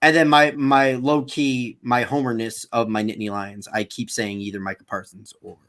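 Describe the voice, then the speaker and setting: A man hosting a casual sports livestream, talking in an animated, searching way. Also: medium pitch, normal speed. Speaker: sports channel host.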